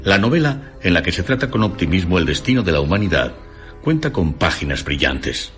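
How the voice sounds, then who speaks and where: A man with a Castilian Spanish accent reading a scripted literary radio essay in a formal, measured way. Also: low-pitched, sing-song, fairly bright tone. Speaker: radio documentary narrator. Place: radio studio. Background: faint music.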